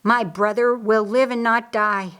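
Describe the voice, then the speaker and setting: A woman's voice: a little high, steady tone, a little slow, fairly bright tone, clean tone. A woman voicing a frantic young woman who fears for her brother, urgent and emphatic, in an audiobook. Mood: defiant.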